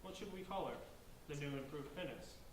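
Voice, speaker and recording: A man's voice: fairly high pitch, lively intonation, fairly quick, close to an everyday voice. A man playing an earnest, brainy boy in an amateur staged reading, explaining himself hesitantly. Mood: thoughtful. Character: earnest brainy boy planning to free trapped spirits. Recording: roomy, distant mic, faint noise.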